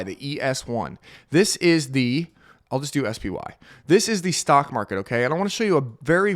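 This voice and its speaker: Male crypto vlogger, a bit sick and nasal, lively swooping delivery, pumped and sarcastic. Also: fairly high pitch. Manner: hyped, sarcastic, fast-talking. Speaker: crypto YouTuber. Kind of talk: excited trading chart walkthrough.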